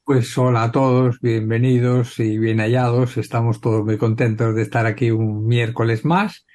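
Mellow-voiced man from Spain welcoming listeners. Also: medium pitch, flat delivery, moderate pace. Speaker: radio panelist.

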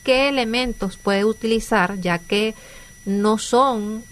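A woman's voice: fairly high pitch, slow. A woman with a Venezuelan accent hosting a radio conversation. She talks in an earnest, chatty way and restarts her phrases often. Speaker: radio host. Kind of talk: conversational interview.

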